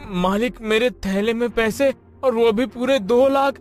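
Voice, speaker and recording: A man's voice: very high-pitched, measured pace, faint music behind. A man voicing a poor, honest servant in a cartoon story, humble and pleading, stammering at times.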